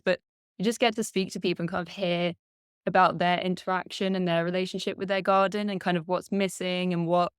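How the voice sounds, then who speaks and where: A woman hosting a casual video chat, bubbly and quick to laugh. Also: lowish voice, creaky, smooth, no room sound, studio quality. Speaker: host.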